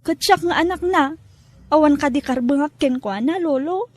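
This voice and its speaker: An actress playing an earnest, respectful young adult granddaughter in an Ilocano drama, with an Ilocano accent. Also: female voice, high-pitched, moderate pace, a little mellow, dry recording, lightly acted. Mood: hurt, questioning. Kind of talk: Ilocano radio-style drama acting.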